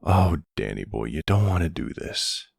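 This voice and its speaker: A man playing a suave middle-aged mafia boss in a boyfriend audio roleplay, gravelly, measured and wry, commanding but capable of tenderness. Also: low-pitched, very animated, a little hiss or hum, full character voice. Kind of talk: ASMR boyfriend roleplay acting.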